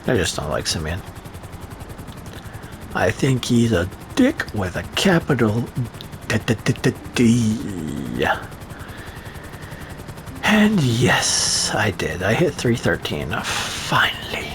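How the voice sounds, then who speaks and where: A man's voice: lowish voice, huge pitch swings, slow, creaky, pauses often, background noise. A man rambling through casual gameplay commentary, sarcastic and profane, with a voice that is starting to go. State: losing his voice. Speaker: gaming streamer.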